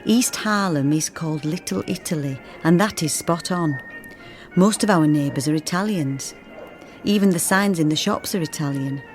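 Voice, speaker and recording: An actress as a homesick young emigrant mother reading her letters aloud in a radio drama. The woman's voice is fairly low and plain-spoken, with faint music underneath. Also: normal speed, a little mellow, close to an everyday voice. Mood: wistful, reflective. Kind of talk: letter read as dramatic narration.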